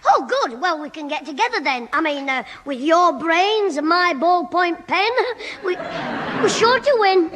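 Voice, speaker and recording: A performer playing a cheeky, scheming schoolboy in a 1960s radio comedy, pert and childlike with quick backchat. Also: lively intonation, sharp tone, a faint crowd, exaggerated voice. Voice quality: high-pitched, childlike. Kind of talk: 1960s radio sitcom acting. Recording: noisy old radio recording, boomy hall.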